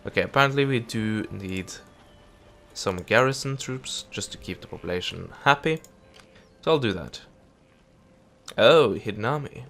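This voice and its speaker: Young man, stuffed-up and nasal, casual game commentary, muttering and swearing at the game, low voice over faint music. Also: vocal fry, fairly bright tone. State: stuffy nose. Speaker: gameplay commentator. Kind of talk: let's play commentary.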